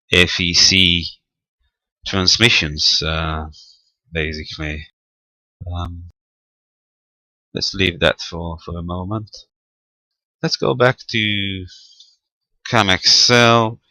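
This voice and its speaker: Man, very low voice with a sharp bright edge, halting matter-of-fact delivery, radio hobby demonstrator. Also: no room sound. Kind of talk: unscripted hands-on technical tutorial.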